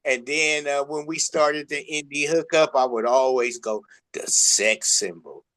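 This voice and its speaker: Guy doing a casual music interview, bright forceful voice, slangy and effusive, slightly high. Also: a little slow. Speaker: music show host.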